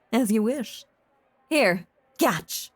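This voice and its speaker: A woman playing a seductive, possessively doting female stage magician in an intimate audio roleplay, theatrical and teasing with a soft, breathy voice. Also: on the high side, animated, drawn-out words, dry recording, exaggerated voice. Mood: sly, teasing. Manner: theatrical, flirtatious, silky and teasing.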